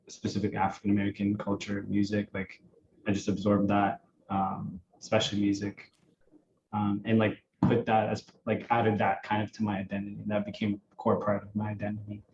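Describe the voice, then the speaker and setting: A man from Ethiopia talking as a podcast guest, reflective and hesitant, full of fillers and restarts. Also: on the low side. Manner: hesitant, filler-heavy, thoughtful. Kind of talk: reflective casual chat. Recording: roomy, dull audio with clear background noise.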